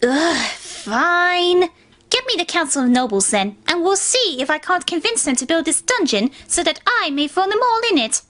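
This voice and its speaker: A woman's voice: extremely high pitch, sing-song, moderate pace, fairly bright tone, exaggerated voice. A woman voicing a haughty, tyrannical pony princess with a pompous, demanding manner in a dramatic story reading. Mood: petulant, demanding. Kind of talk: dramatic fan fiction reading.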